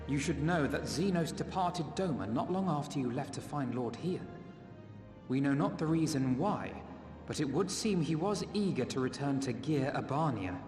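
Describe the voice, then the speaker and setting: A man's voice: fairly high pitch, lively intonation, moderate pace, darkish tone, echoey room, long reverb tail, faint music behind, lightly acted. A man voicing an earnest, idealistic young scholar in a video game, polite, formal and articulate. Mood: concerned. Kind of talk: video game cutscene acting.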